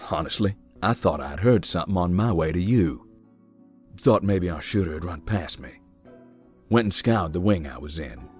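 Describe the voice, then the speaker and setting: A very low male voice plays a giant, laid-back man in a horror visual novel. His delivery is folksy and dry, with lively swings in intonation. Music plays behind him. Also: normal speed, slightly rough. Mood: dry, polite. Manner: deadpan, folksy, wry. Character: giant, easygoing, wry man exploring a haunted hospital.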